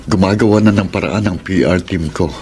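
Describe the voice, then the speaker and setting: Man acting a deep-voiced, earnest Filipino politician. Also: brisk, darkish tone, a little hiss or hum, close to an everyday voice. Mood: reassuring. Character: steadfast, loving male governor, betrayed grandson. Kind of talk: Filipino radio drama acting.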